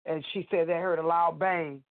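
A woman's voice: lowish voice, fairly even intonation, moderate pace, dry recording. A woman co-hosting a faith talk radio show with a stuffy nose, testifying emphatically and talking straight to listeners. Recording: dry phone-line sound.

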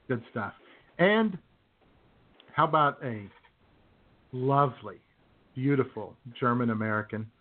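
A man co-hosting a music radio show, introducing songs with casual, folksy, jokey banter. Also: mid-range voice. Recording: tinny phone-like sound.

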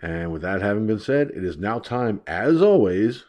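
A man's voice: lowish voice, sing-song, moderate pace, darkish tone. Stuffed-up, profane ranting male talk host. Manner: brash, profane, mocking. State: stuffed up, nasal from allergies. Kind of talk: political talk rant.

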